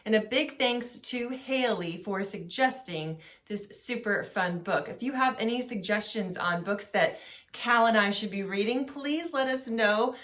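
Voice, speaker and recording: A woman hosting a children's story channel, reading aloud in an expressive, deliberate, sing-song way. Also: mid-range voice.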